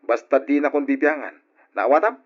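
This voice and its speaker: A man with an Ilocano Filipino accent playing a smooth-talking, callous philanderer in a radio drama. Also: medium pitch, moderate pace, lightly acted. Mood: cold, irritated. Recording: dull old radio drama recording.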